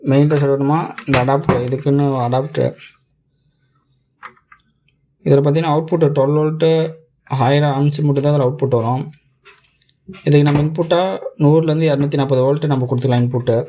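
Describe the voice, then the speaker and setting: A man's voice: on the high side, steady tone, fast, mellow, dull audio. A man with a Tamil Nadu Tamil accent giving a casual product demo as a tech reviewer, explaining step by step in colloquial, repetitive phrases. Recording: clear background noise, clicks.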